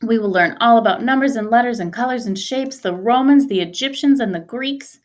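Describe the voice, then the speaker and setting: Woman as male school principal, storybook, proud, enthusiastic, emphatic announcements, raised pitch. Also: fairly quick, lightly acted.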